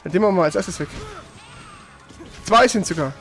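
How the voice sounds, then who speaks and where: High-voiced slangy man chatting while gaming. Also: expressive, moderate pace, a bit bright, faint music behind. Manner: colloquial, slangy, swearing. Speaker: gaming streamer playing and chatting. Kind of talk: casual chat and live game commentary.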